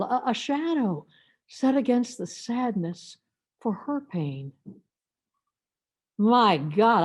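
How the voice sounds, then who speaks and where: A woman's voice: a little high, darkish tone. A woman reading a dramatic first-person meditation as an anguished, defiant biblical woman, in an emotive, theatrical manner. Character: Mary Magdalene, anguished, defiant former prostitute. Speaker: reader of a church meditation.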